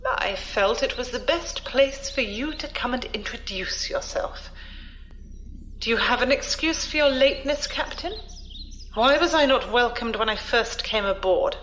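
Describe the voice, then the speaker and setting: A woman playing an imperious old noblewoman emissary in an audio drama, haughty, deliberate and cutting. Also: on the high side, a bit bright, slight background noise. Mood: haughty, displeased.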